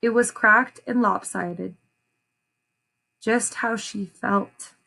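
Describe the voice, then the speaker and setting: A woman reading a children's story aloud as an educator, pausing to ask questions and explain things to young listeners. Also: medium pitch, fairly even intonation, vocal fry, pushed and bright, breathy edge.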